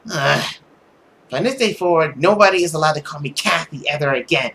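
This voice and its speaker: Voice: mid-range voice, animated, fairly quick, gravelly, fairly bright tone, a little hiss or hum. A playful, theatrical narrator reading a story aloud, stumbling now and then and dropping in joking asides. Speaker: let's play narrator reading a visual novel.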